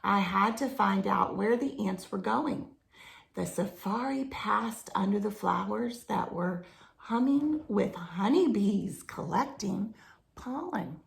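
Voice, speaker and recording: Female children's storyteller, unhurried and slightly gravelly, expressive read-aloud with simple explanations, recorded in a roomy, echoey space. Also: medium pitch. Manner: explains to young listeners with simple questions and expressive emphasis.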